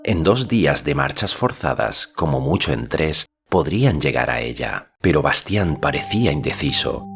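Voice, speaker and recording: A man narrating a fantasy novel as an audiobook, in a measured, solemn storytelling manner. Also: rumbling low, sing-song, gravelly. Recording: music behind the voice. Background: background music.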